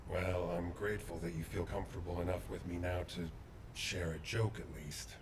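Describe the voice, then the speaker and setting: A man voicing a grave, courteous veteran soldier in a video game cutscene, speaking in a measured, formal way. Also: low-pitched, steady tone, background noise. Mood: mildly amused.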